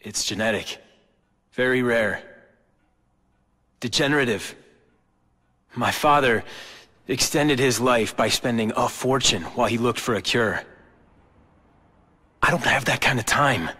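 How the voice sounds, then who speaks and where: A man's voice: medium pitch, measured pace, long pauses, pushed and bright, breathy edge. A man with an American accent voicing an embittered, brooding young corporate heir in a video game cutscene. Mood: bitter, resigned. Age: young adult. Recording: long hall-like reverb. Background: background music.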